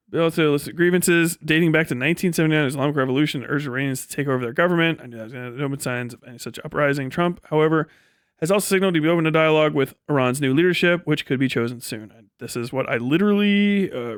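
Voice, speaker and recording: A man's voice: on the high side, smooth, dry recording. Male streamer, clean resonant voice in a very dry space, riffing on politics with blunt, dismissive, profane sarcasm. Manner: sardonic. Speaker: live streamer. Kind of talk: off-the-cuff political commentary on a livestream.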